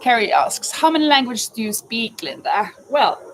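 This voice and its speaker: A woman's voice: a little high, big pitch swings, normal speed, rough recording. Norwegian lady chatting while she crochets, rambly, bit of hiss behind. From Norway. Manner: chatty, rambling, self-correcting. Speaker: crochet vlogger and teacher. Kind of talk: casual live chat answering viewers while crocheting. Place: home in Spain, crickets outside.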